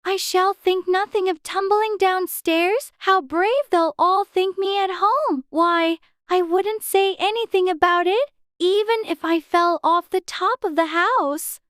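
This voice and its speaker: A woman's voice: very high-pitched, a little slow, a bit bright, no echo, clean studio sound. A woman playing a curious, chatty little girl who muses aloud in an earnest, prim way for an audiobook. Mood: musing, curious. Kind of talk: dramatized audiobook character acting.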